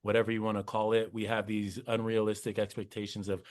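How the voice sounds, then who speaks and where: A man's voice: fairly low pitch, flat delivery, quick pace. A young man, a podcast guest, talking earnestly in casual interview chat and often restarting his sentences.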